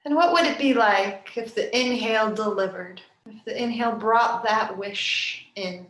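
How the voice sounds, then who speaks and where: A woman yoga nidra teacher leading a guided relaxation meditation in a soft, soothing, unhurried way with long pauses. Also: mid-range voice. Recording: roomy, echoing sound.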